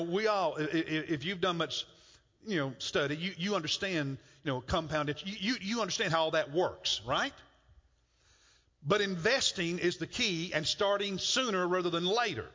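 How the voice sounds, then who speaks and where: A man's voice: a little high, bright, forceful tone. A middle-aged man preaching a conversational sermon as a pastor, often repeating words as he works toward a point. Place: church sanctuary. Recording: muffled church hall with long reverb.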